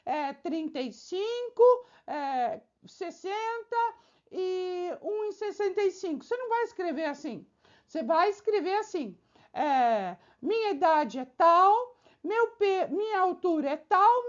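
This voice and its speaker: A woman teacher speaks in a very high voice and takes her time, laying out exam advice step by step. She checks in with the viewer often and works through examples. The recording sounds slightly dull and lo-fi. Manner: explains with frequent checking tags and examples. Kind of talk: exam-prep video lesson.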